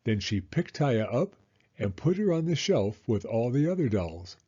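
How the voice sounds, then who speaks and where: A man reading a children's story aloud in an animated, deliberate narrating style. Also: mid-range voice, breathy edge, lo-fi sound. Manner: expressive, deliberate, drawn-out phrasing.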